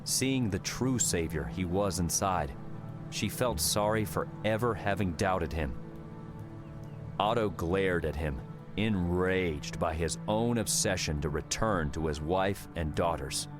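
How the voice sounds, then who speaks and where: A man's voice: low voice, takes it slowly. A man narrating a fiction audiobook in a dramatic, scripted style. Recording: background music under the voice.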